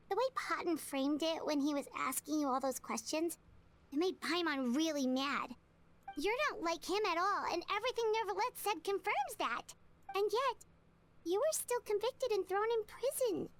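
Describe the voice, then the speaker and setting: A woman voicing a tiny, outspoken, earnest childlike companion in an anime game, with a squeaky voice. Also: expressive, measured pace, a little hiss or hum. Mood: indignant. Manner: earnest, refers to self by name. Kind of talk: scripted anime game acting.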